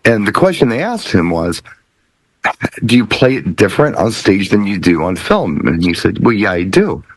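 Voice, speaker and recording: A man on a DJ talk show panel, explaining things casually and thoughtfully with deliberate pauses. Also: lowish voice, big pitch swings, slightly rough, no echo, rough recording.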